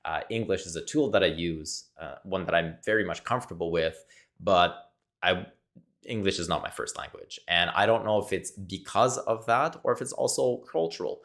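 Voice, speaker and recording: A man's voice: low voice, normal speed, creaky, a bit bright. A man from Quebec whose first language is French, speaking English with a Québécois accent in a searching, repetitive solo monologue to camera. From Quebec, Canada. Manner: searching, repetitive, thinks aloud. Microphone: camera mic, talking to camera.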